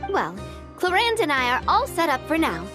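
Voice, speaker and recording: A woman's voice: high voice, huge pitch swings, normal speed. A woman voicing an eager young female stallholder in a video game. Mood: eager, cheerful. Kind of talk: video game character voice acting. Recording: music behind the voice.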